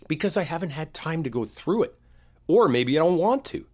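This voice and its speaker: A man playing a frustrated, wounded boyfriend in a one-sided audio roleplay, talking in a naturalistic, halting way with a husky voice. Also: a little high, expressive, a little mellow. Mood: exasperated, defensive. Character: frustrated, wounded boyfriend arguing with his partner.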